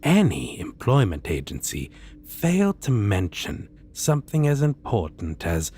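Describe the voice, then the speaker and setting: A man's voice: lowish voice, wildly swooping pitch, measured pace, a little mellow, slightly rough, exaggerated voice. A man playing a theatrical, suave and condescending costumed supervillain in an ASMR roleplay. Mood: incredulous. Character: theatrical, stately, amused supervillain in costume interviewing a minion.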